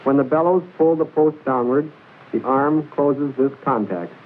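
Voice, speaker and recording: A man narrates an old military training film in a formal, measured, precise instructional style, reading from a script. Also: medium pitch, narrow, tinny audio. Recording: hissy band-limited 1940s film soundtrack.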